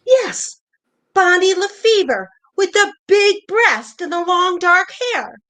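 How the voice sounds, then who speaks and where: A woman's voice: very high-pitched, expressive, slow pace, fairly bright tone, full character voice. A woman playing a hurt, jealous older wife, pointed and probing, in a naturalistic play reading. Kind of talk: virtual staged play reading, naturalistic dialogue.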